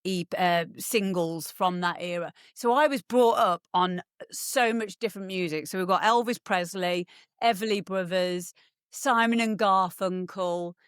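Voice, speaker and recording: A woman's voice: fairly low pitch, unhurried, clear, resonant tone, no echo, clean studio sound. A woman, chatting as a podcast guest, rambling with lots of ums and restarts. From England. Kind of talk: casual chat.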